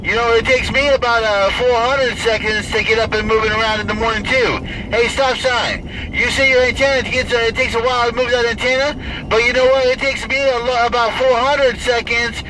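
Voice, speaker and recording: Casting: American male CB operator, high pitch, showy catchphrase patter, very noisy cab. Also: fairly even intonation, a little fast, few pauses. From Idaho, United States. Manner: showy, boisterous catchphrases, repeated calls. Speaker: CB radio operator. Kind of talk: CB radio chatter with trucker slang. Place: inside a parked or running vehicle. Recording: very noisy vehicle interior with radio static.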